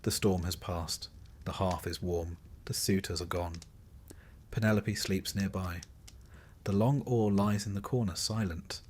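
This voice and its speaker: A man narrating a scripted sleep story as a storyteller, in measured, literary phrasing with short dramatic pauses. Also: low voice, darkish tone, a little hiss or hum.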